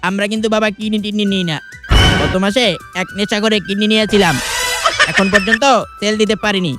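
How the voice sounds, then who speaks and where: A man's voice: high-pitched, level tone, a little fast, over loud music, close to an everyday voice. A man voicing a smug, businesslike gold-shop salesman in a comic cartoon.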